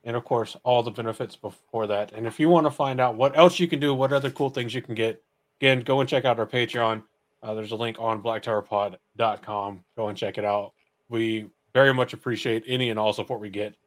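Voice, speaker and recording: A man's voice: mid-range voice, fairly even intonation, brisk. A man co-hosting a podcast in a casual, colloquial, joking way, as in chat and plugs. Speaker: podcast co-host.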